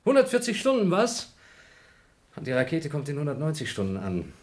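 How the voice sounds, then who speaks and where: An actor playing an astronaut on a moon station who is agitated and impatient and keeps exclaiming. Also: male voice, on the high side, huge pitch swings, a little fast. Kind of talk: 1970s radio play acting.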